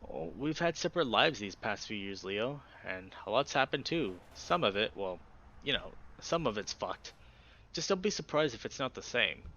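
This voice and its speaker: A man's voice: on the low side, very animated, normal speed, sharp tone. A man voicing a wry, guarded Gila monster shift worker from a visual novel, with sardonic, profane banter. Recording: dull, noisy home recording.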